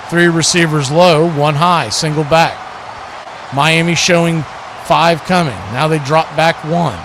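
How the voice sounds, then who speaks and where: An American man giving live play-by-play commentary of a football game as the host of a stream. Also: fairly high pitch. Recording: clear background noise.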